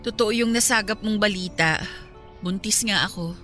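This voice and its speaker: A woman's voice: medium pitch, moderate pace, pushed and bright, faint music behind, close to an everyday voice. A woman playing a long-suffering pregnant wife and mother, firm and reproachful, in a radio drama. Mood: firm, hurt.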